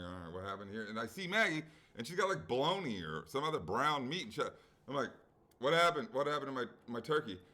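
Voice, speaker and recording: A middle-aged man on a sports betting podcast, telling a long everyday story and acting out the people in it, with casual swearing. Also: medium pitch. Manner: animated storyteller, acts out quoted people, swears freely. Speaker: podcast co-host. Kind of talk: casual chat, long anecdote.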